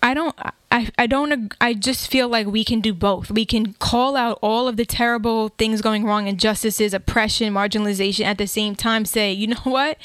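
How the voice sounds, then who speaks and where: A woman's voice: a little high, steady tone, moderate pace, hardly any pauses, a bit bright, clear, resonant tone, dry recording. A woman sharing her opinions earnestly in casual conversation, often repeating herself for emphasis. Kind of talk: casual chat.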